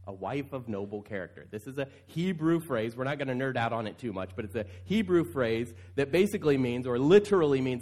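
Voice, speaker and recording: A male pastor with a somewhat high voice delivers a sermon as if chatting. He keeps it informal and circles back over key phrases to stress them. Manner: informal, repeats phrases for emphasis. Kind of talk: conversational sermon. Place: church service, speaking to congregation.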